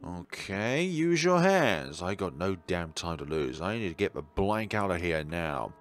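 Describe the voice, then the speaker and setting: A man reading a horror game aloud and commenting on it in a theatrical way, given to sudden loud outbursts. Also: low voice, sing-song, measured pace. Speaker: let's player. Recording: background music behind the voice. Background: game music.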